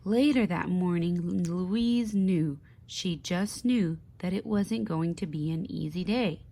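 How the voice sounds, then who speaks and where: A woman reading a children's picture book aloud in an expressive, deliberate teaching way, pausing to put questions to young listeners. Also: on the low side, gravelly. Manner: slow, deliberate, with pauses. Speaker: speech therapist.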